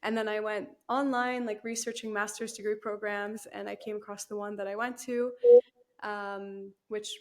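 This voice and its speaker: A woman's voice: mid-range voice, flat delivery, normal speed. A young woman, chatting as a guest in an interview, laughing easily and using lots of fillers. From Canada. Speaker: guest, new team member. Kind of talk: casual interview chat.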